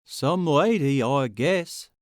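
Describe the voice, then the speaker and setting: A man puts on a droopy, apathetic storybook peasant. He talks very slowly in a slightly high, dull-witted deadpan, utterly indifferent, in a dry recording. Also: dry recording. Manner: flat, slow, deadpan, indifferent. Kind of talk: audiobook character voice.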